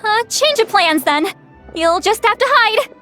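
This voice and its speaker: Nervy helpful game girl, really high voice, up n down, flustered. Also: very animated, a little slow, over music, full character voice. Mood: worried, urgent. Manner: flustered, hesitant. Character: anxious, helpful young female lookout. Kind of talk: video game voice acting.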